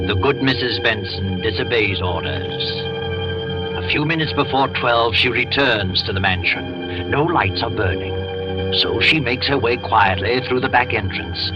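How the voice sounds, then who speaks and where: A man narrating a 1940s radio thriller in a measured, suspenseful way, on a dull old broadcast. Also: lowish voice. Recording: old radio broadcast over loud organ.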